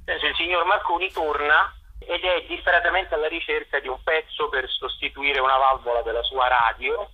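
A man giving a radio interview as a theatre director and actor, articulate and discursive, pausing to search for words. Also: a little high, fairly even intonation, breathy edge, dull audio. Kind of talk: radio interview, explaining and promoting work.

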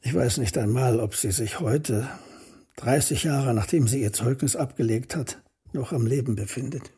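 An actor playing a melancholy, reflective scholar who recounts his life in a measured, formal radio drama monologue, with a husky voice. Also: mid-range voice, warm tone. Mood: sombre, reflective. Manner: measured, contemplative, formal storytelling.

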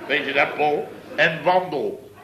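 An actor playing a blustering middle-aged contractor boss who rambles through an exasperated tale, in 1960s radio comedy acting. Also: male voice, on the high side, slow, roomy sound, background noise. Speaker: construction firm boss.

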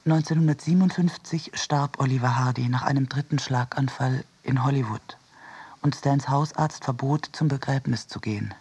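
A man reading a scripted literary essay for radio in a measured, deliberate manner. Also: mid-range voice, darkish tone, slightly rough. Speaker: reader in a radio production.

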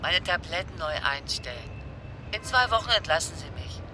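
A woman's voice: fairly low pitch, lively intonation, normal speed, pushed and bright, slight background noise. A middle-aged woman playing an affectionate, playful mother on a phone call in a radio drama. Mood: matter-of-fact. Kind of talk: naturalistic radio drama dialogue.

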